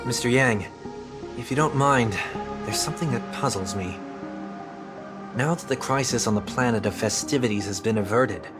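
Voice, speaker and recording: An actor playing a courteous, soft-spoken man in a video game, speaking in formal, measured phrases. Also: mid-range voice, close to an everyday voice. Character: polite, soft-spoken man bidding his home farewell. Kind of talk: scripted video game dialogue. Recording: loud background music over dialogue.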